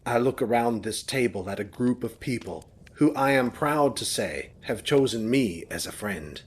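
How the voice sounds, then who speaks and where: An actor playing a courteous, warm-hearted gentleman host of an old manor in an audio drama. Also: medium pitch, lively intonation, moderate pace, husky. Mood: gracious, sincere.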